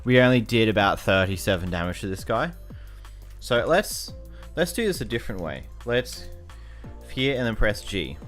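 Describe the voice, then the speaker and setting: A man's voice: lowish voice, sing-song, moderate pace, fairly bright tone, soft background music. A young Australian man casually commenting on a video game, chatty and self-mocking, tripping over words as he reads. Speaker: gaming YouTuber.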